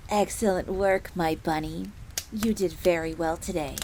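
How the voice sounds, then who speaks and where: A woman voicing a suave, commanding male vampire master in an audio drama, speaking in a measured, formal, indulgent manner. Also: on the low side, fairly even intonation, voice pushed down. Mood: approving, reassuring. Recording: faint crackling fire noise behind voice.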